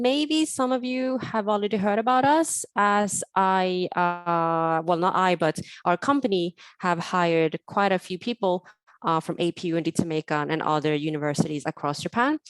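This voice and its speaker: Soft-pitched woman, dry close speaker. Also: clear, resonant tone, dry recording. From Sweden. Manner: hesitant, many ums and restarts. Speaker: guest speaker. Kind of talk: online academic talk.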